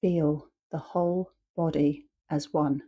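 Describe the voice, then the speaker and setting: Calm lady doing a guided meditation, really slow, dark mellow voice, short phrases w lots of space between. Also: deep voice, husky, lo-fi sound. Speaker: meditation and yoga teacher.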